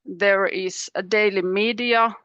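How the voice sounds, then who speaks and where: A woman's voice: mid-range voice, fairly even intonation, a bit bright, clean tone, no echo, muffled recording. Woman giving an academic talk. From Finland. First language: Finnish. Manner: measured and explanatory, with frequent pauses and restarts. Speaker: professor, panelist. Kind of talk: academic presentation. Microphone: video call.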